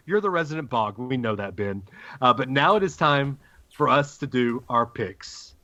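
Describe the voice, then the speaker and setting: A man's voice: medium pitch, animated, unhurried, pushed and bright. A man hosting a sports podcast with casual banter, moving the conversation along. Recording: dull lo-fi podcast audio.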